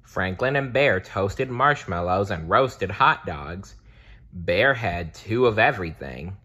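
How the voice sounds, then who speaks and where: A man narrating a children's storybook aloud in a deliberate, expressive read-aloud style. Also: fairly low pitch, breathy edge, muffled recording. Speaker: storybook reader.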